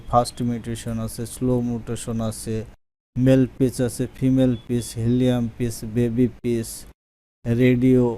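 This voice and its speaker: Male tutorial presenter, medium-pitched voice, casual step-by-step screen narration. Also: a little mellow.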